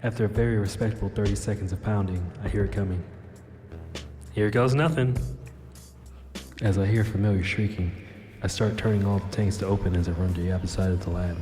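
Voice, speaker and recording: Man playing a wry low-voiced cyberpunk operative. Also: fairly even intonation, fairly quick, pauses often, dark tone, natural voice. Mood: tense, deadpan. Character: wry, injured, reckless male cyberpunk operative and narrator. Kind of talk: first-person dramatic narration in an audio drama. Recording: roomy reverberant voice over music bed.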